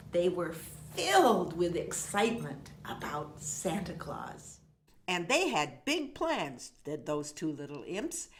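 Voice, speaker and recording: Woman reading a children's story, lively intonation. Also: lowish voice. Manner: expressive, measured verse delivery. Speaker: author reading own story. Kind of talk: rhyming children's storybook read aloud.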